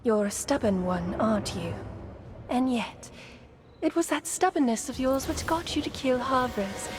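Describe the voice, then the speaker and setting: Haughty female wizard hiding a demon, high voice, condescending, theatrical game delivery, music behind. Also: lively intonation, unhurried. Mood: cold, scornful. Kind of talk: video game voice acting.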